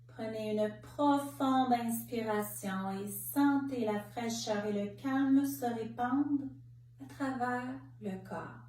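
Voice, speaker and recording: A woman leading a guided relaxation meditation as a yoga nidra instructor, speaking in calm, measured short phrases with pauses. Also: fairly high pitch. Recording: roomy, distant mic, faint background noise.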